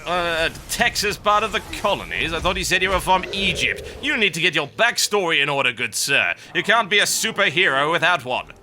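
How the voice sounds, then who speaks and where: A man doing a haughty, stiff-backed old British officer with a posh, clipped accent, talking down to his partner during a game. The voice is sharp and bright, with a touch of faint noise underneath. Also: fairly high pitch, sing-song, fairly quick, hardly any pauses, exaggerated voice. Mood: pompous. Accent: put-on posh British. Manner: pompous, haughty, clipped colonial-officer talk. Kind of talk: in-character co-op game commentary.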